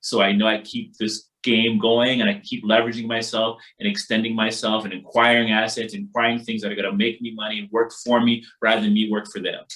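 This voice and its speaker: A man's voice: fairly low pitch, level tone, brisk, few pauses, a bit bright, rough recording. A man from Barbados teaching a workshop as instructor, informal and motivational, with an emphatic, colloquial delivery. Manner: emphatic, colloquial, repeats catchphrases. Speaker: financial literacy workshop instructor.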